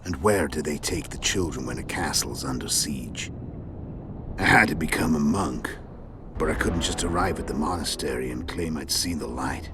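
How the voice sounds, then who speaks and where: An actor playing a world-weary, cunning male assassin in a game cutscene, gravelly and measured, recounting things with dry irony. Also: background noise. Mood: calm, wry. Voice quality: very deep, gravelly. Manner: measured, matter-of-fact storytelling with dry irony. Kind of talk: video game cutscene acting. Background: background music.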